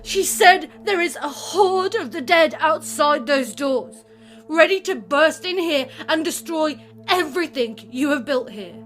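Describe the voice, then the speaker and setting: Woman playing defiant survivor, urgent, emotional, very high voice, forceful tone, audio drama. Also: lively intonation, unhurried, soft background music. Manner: urgent, confrontational, emotional delivery. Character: defiant, desperate woman survivor of zombie apocalypse. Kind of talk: acted audio drama dialogue.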